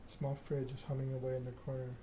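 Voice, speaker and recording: A man reads a story game aloud in a soft ASMR style. His pitch is middling and stays quite flat, with clear noise behind him. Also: fast. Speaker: narrator reading a video game aloud.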